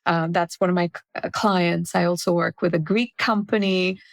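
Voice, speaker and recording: A woman in her forties from India, a guest telling her story in a reflective, measured way during a casual interview. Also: fairly low pitch, steady tone, vocal fry, no echo. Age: 40s.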